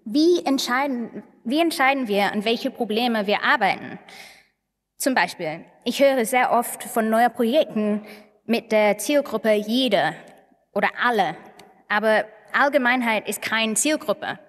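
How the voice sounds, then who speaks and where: A woman from England speaking non-native German with an English accent, haltingly searching for words as she gives an anecdotal conference talk. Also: fairly high pitch, expressive. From England (Manchester). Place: large conference hall on stage, indoors. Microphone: podium microphones. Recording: echoey hall with long reverb.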